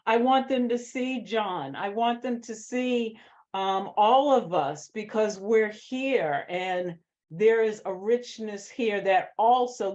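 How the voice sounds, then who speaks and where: Unhurried American woman, slightly dull sound. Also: medium pitch, darkish tone. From Boston, United States. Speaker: screenwriter answering questions. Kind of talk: post-reading Q&A talk.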